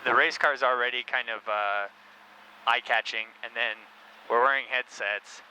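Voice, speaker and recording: A man's voice: mid-range voice, normal speed, rough recording. A man talking casually and informally as he explains and reviews gear for a car vlog. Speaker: host of a car-build video channel. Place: inside a loud race car cabin. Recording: steady vehicle noise behind voice.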